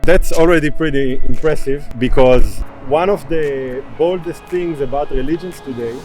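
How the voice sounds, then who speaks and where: A man's voice: a little high, moderate pace, darkish tone, faint music behind, rough recording. A man with an Israeli accent, conversational and inquisitive, hosting and narrating a travel vlog. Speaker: host.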